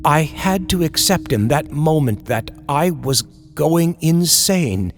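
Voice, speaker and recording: Slow, dramatic man narrating horror over music. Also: on the high side, expressive. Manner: theatrical, deliberate storytelling. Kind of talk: dramatic scripted horror narration in old-time radio style.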